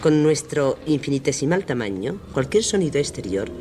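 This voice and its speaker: An actor playing a learned, confident scientist in a radio drama, explaining things in a didactic, declamatory way. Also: male voice, mid-range voice, very animated, moderate pace, close to an everyday voice. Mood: explanatory. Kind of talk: radio theatre acting.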